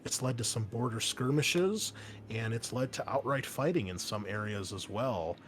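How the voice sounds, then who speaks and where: A man's voice: mid-range voice, lively intonation, normal speed, slight background noise. A man speaking as game master, explaining a tabletop roleplaying scenario in a conversational, improvised way. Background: background music.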